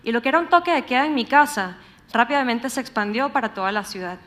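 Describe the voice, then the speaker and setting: High-voiced Venezuelan woman giving a talk. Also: lively intonation, fast talker, a bit bright. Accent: Venezuelan, Caracas. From Venezuela, grew up in Caracas. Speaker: conference speaker. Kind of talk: prepared public talk. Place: on stage at a live talk event.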